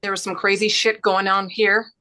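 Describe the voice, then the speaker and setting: A woman's voice: mid-range voice, little pitch movement, moderate pace, pushed and bright, lightly acted. A woman acting a harried female reporter and working mother, urgent in manner, in a script table read. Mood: urgent, secretive.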